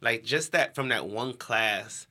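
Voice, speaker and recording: Interview guest: American male, young adult, crisp bright timbre, informal conversational delivery. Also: mid-range voice, takes it slowly. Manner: informal, heavy use of "like". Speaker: interview guest, education nonprofit co-founder.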